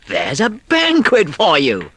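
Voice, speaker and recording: A storyteller voicing a brisk, kindly male water rat who talks in a hearty, commanding way. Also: medium pitch, wildly swooping pitch, voice pushed up. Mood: cheerful. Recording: noisy background hiss.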